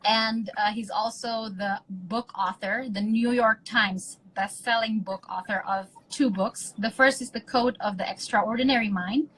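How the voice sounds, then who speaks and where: An Indonesian-accented woman hosting a phone live stream, chatting casually and gushing with excitement. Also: a little high, unhurried, few pauses, slightly rough, low-quality recording. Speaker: host of an Instagram live. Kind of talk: casual live-stream chat switching between two languages. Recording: phone live stream with faint ambient noise.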